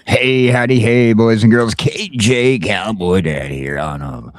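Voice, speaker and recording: A man with a very low voice hosts a laid-back morning show. He talks in a folksy, jokey way with casual radio-style patter. The sound is dry and close, with no room around it. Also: a little slow, dry recording. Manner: folksy, jokey greetings and wordplay. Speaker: talk show host.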